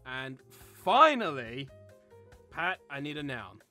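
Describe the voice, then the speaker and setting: A woman's voice: low-pitched, sing-song, slow, sharp tone, faint music behind. A woman hosting a casual word game with friends, bantering as she goes. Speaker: host. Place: online voice call.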